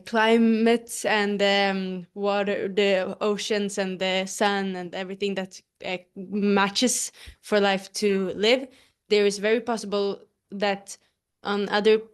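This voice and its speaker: A woman's voice: medium pitch, clean tone. A woman with a Swedish accent, a native Swedish speaker, giving hesitant interview answers and searching for English words. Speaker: actress interviewee.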